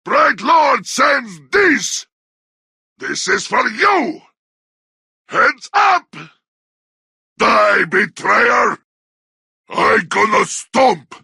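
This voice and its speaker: Savage orc fighter for a game, super high strained yelling, harsh n sharp, snarly battle barks. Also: male voice, very animated, drawn-out words, long pauses, no room sound, studio quality, full character voice. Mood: furious, savage. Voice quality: harsh, raspy growl. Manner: snarling battle barks in crude, broken grammar. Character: brutish, bloodthirsty orc warrior of Mordor, aggressive and taunting. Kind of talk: video game combat barks.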